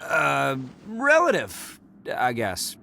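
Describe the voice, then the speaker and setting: An actor playing a brash, boastful but good-hearted young oni hero in a video game, with a cocky, casual and expressive delivery. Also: male voice, medium pitch, drawn-out words, gravelly, slight background noise, heavily acted. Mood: confident.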